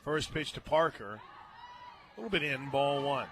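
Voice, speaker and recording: A man doing live play-by-play softball commentary on the radio, calling each play in short clipped phrases. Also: medium pitch, lively intonation, moderate pace. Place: outdoor ballpark radio booth. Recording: outdoor crowd noise behind the voice.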